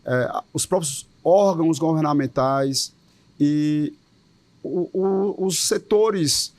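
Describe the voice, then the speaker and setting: Um homem com sotaque cearense, presidente de uma associação de policiais, dá uma entrevista com tom sério e enfático e repete palavras às vezes. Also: male voice, on the high side, slow pace, a little mellow, no room sound. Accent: Cearense Portuguese. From Ceará, Brazil. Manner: earnest, emphatic, with repeated words. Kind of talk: interview answers.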